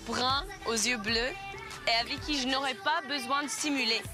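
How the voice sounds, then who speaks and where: A young woman on a TV dating show, bold and teasing, ready to wave someone off. Her voice sits fairly high. Background music plays clearly under her, as in a broadcast clip. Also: steady tone, moderate pace. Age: 20s.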